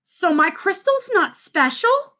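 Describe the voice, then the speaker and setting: A woman voicing a curious, eager young girl in a children's story, questioning and excitable. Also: very high voice, takes it slowly, voice pushed up, exaggerated voice. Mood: doubtful, curious.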